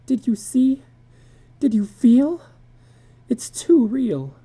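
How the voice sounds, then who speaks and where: A woman's voice: on the high side, big pitch swings, takes it slowly, dark tone, breathy edge, pitched above the performer's own voice, full character voice. A woman voicing a frightened, pleading housewife in a dramatic story reading, anxious and insistent.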